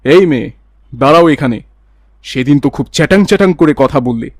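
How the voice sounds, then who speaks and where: A man's voice: a little high, expressive, fast, no echo, clean studio sound. A man voicing a menacing, vengeful young street harasser in a cartoon. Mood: threatening. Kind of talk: cartoon villain dialogue.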